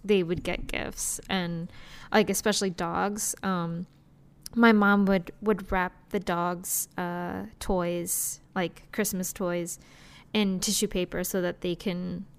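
A woman co-hosting a podcast, chatting casually in a relaxed, hesitant way that often trails off. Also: fairly low pitch, takes it slowly, vocal fry, clear, resonant tone. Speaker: podcast co-host. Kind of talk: casual chat.

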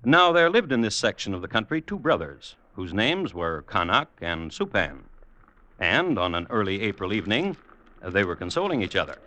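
A man hosting and narrating in 1950s radio style, with a dry, droll, deliberate, mock-formal delivery. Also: lowish voice, very animated, bright, forceful tone, no echo. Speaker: host and narrator. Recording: old radio recording with music behind. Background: music.

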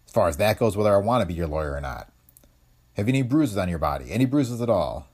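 A man's voice: on the low side, expressive, fast talker, darkish tone. A man voicing a young male attorney who talks in a blunt, dry way. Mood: reluctant.

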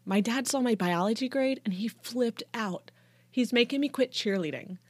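A woman's voice: on the high side, moderate pace, breathy edge, dry recording, voice pushed up. Woman as an emotional, protesting teenage girl. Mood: upset. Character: defiant, pleading teenage cheerleader girl. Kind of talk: audiobook character dialogue.

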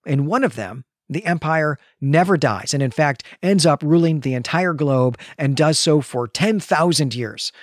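A man co-hosting a literary podcast, explaining and analysing in a measured, articulate way. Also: on the high side, expressive, no echo, studio quality. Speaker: podcast host.

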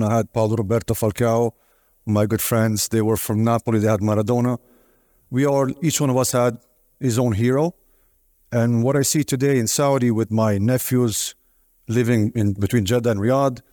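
A man speaks on a conference panel, his voice low and mellow. He tells stories reflectively and pauses now and then, and the sound is dry and close. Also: dry recording. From Italy. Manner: reflective, warm storytelling with hesitations. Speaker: league executive panelist. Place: conference stage.

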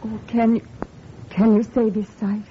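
A woman's voice: on the high side, flat delivery, a little slow, close to an everyday voice. A woman playing a worried, pleading wife of a patient in an old radio drama, fretful in her delivery. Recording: dull, noisy old radio recording.

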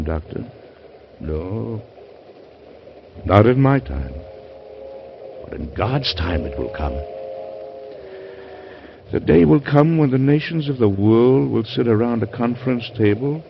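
A weary but idealistic American President in a vintage radio drama. His delivery is oratorical and sweeps widely in pitch, with weighty pauses. The recording is dull and carries some hiss. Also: vocal fry, exaggerated voice. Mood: frail, hopeful. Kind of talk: old-time radio drama acting.

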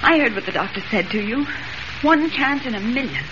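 A woman's voice: fairly high pitch, lively intonation, a little fast, close to an everyday voice. An actress playing an emotional, self-blaming young newspaperwoman in a melodramatic 1940s radio serial. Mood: distraught. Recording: noisy, muffled vintage radio recording.

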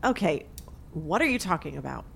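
Woman playing a lively, skeptical woman. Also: deep voice, normal speed, gravelly, slight background noise, heavily acted. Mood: alarmed, sarcastic. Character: skeptical, sarcastic young woman back in hometown. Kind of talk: naturalistic audio drama acting.

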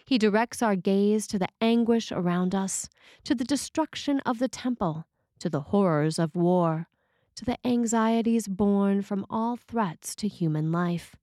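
A woman's voice: medium pitch, a little slow, warm tone, no room sound. Woman reading a sermon, dry sound.

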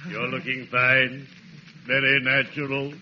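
A man playing a morbid, courtly undertaker with a slow, sepulchral delivery in the style of 1940s radio comedy. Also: medium pitch, completely flat delivery, close to an everyday voice. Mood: solemn, courtly. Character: solemn, morbid, courtly male undertaker with lugubrious politeness. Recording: noisy muffled vintage radio recording.

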